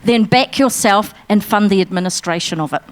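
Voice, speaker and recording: A woman on a conference panel, speaking earnestly and passionately about her work with many pauses and restarts, her voice a little worn. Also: mid-range voice, a little hiss or hum. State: losing her voice. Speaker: financial mentor panelist. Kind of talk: panel discussion answer. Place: conference room.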